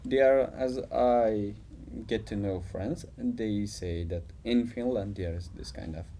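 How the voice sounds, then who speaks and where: A man's voice: fairly low pitch, slow pace, warm tone, slight background noise. A man interviewing a guest in non-native English, asking questions with frequent filler words. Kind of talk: casual interview talk.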